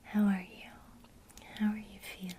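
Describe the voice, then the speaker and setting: A woman whispering an ASMR witchcraft lesson, gently encouraging a listener she addresses as her student. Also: mid-range voice, level tone, a little hiss or hum. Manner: speaks to the listener as a student, pausing for imagined replies.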